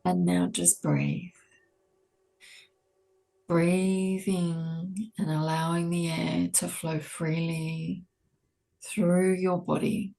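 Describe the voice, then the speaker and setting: A woman leading a guided meditation, speaking gently and in a measured way with long pauses between soothing instructions. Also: on the low side, flat delivery, vocal fry, low-quality recording. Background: soft ambient meditation music.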